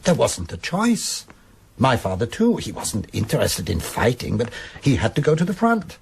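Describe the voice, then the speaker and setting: An actor playing an earnest, troubled middle-aged husband in a radio drama, emphatic yet hesitant, with a raspy voice. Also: male voice, medium pitch, wildly swooping pitch, normal speed, heavily acted. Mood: troubled, ashamed.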